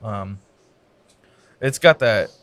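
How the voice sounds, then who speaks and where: A man's voice: on the low side, lively intonation, drawn-out words, fairly bright tone, clean tone, dry recording. A man co-hosting a casual podcast from a cigar lounge, cracking jokes and teasing his partner. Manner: joking, teasing. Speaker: podcast co-host. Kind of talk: casual chat.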